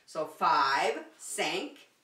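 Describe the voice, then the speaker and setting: A woman teaches little children in a bright, forward voice at an unhurried pace. Her delivery is animated and encouraging, built on short repeated phrases. She is recorded in an echoey room at some distance from the microphone. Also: low-pitched. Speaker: children's circle-time teacher. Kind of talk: preschool lesson addressed to young children. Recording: roomy echo, distant microphone.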